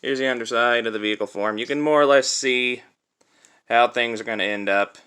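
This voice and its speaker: Bright-voiced man casually reviewing a toy. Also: medium pitch, fairly quick, clean tone. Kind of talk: casual unscripted product review. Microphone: cell phone used as camera.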